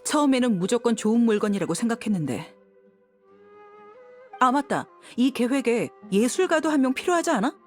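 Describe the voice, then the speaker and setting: A woman's voice: fairly high pitch, animated, fairly quick, close to an everyday voice. A woman playing an easygoing, confident female fighter in a video game, talking casually and frankly. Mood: casual, thoughtful. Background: background music.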